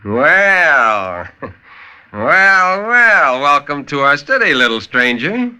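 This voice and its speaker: A sharp, high-swooping tramp, acted out by a man, full of sly, mocking glee. He talks in rough folksy grammar and chuckles as he goes, in the manner of an old 1950s radio tale. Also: sing-song, very slow, heavily acted. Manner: folksy uneducated speech with chuckles. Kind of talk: 1950s radio story acting. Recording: vintage 1950s radio recording.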